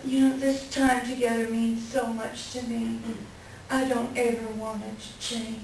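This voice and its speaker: A woman playing a tender, plain-spoken friend in a staged play reading, gently questioning. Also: a little high, flat delivery, a little slow, vocal fry. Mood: tender concern. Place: library reading before a microphone at some distance. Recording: very echoey room, distant mic, faint noise.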